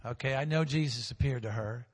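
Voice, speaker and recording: A male pastor preaching a sermon with call-and-response, swinging between loud and hushed, drawing out key phrases slowly. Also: mid-range voice, long reverb tail.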